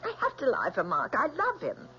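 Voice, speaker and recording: Anxious young daughter, earnest and stubborn, highly expressive, dull old radio drama recording. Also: female voice, fairly quick, exaggerated voice. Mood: pleading, defensive. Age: young adult. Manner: hesitant, earnest. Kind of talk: 1970s radio drama acting. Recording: dull old radio broadcast, faint hum.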